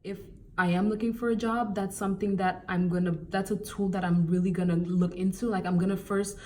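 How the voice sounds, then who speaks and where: A young woman from Cameroon giving casual, animated job-hunting advice in a vlog, with exasperated asides. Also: medium pitch, fairly quick, far from the mic. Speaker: vlogger.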